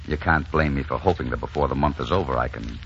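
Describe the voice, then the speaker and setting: A man's voice: extremely low pitch, quick pace, a bit bright, breathy edge, exaggerated voice. An actor playing a tough, ardent romantic hero who narrates his adventure in dramatic 1940s radio style. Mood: tender, low. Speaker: leading man and narrator. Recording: dull 1940s radio recording with music.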